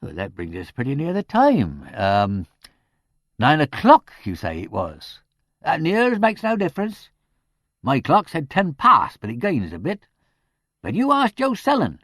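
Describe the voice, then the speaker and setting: A man's voice: fairly high pitch, wildly swooping pitch, unhurried, fairly bright tone, no echo, voice pushed up, heavily acted. A man voicing a talkative, proud old village charwoman, in a dry recording. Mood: chattering. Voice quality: broad, chattering, indignant.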